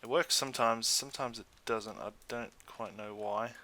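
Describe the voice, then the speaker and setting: An Australian man giving casual, chatty let's play commentary in an easygoing way, thinking out loud as he goes. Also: mid-range voice, fairly even intonation, moderate pace. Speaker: gaming YouTuber.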